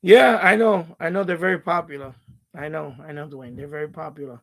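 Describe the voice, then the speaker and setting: A middle-aged man hosting a casual live stream, rambling and thinking aloud in a halting way. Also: fairly high pitch, fairly even intonation, creaky.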